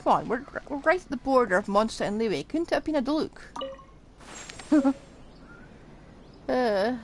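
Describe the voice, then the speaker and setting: A woman's voice: a little high, lively intonation, measured pace. A woman giving casual live gameplay commentary, chattily thinking aloud to herself. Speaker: game streamer. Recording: clearly noisy background.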